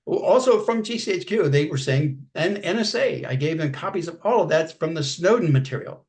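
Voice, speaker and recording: An older man as an interview guest, talking casually in a halting way, repeating words and clearing his throat. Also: a little high, lively intonation, a little mellow.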